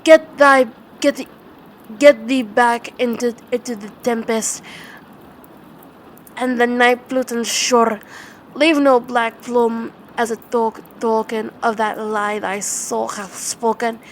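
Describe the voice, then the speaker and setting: A woman's voice: a little high, fairly even intonation, takes it slowly, a little hiss or hum. A woman voicing an anguished, grief-stricken man in a dramatic gothic poetry recitation, stumbling over words. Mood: furious, shrieking.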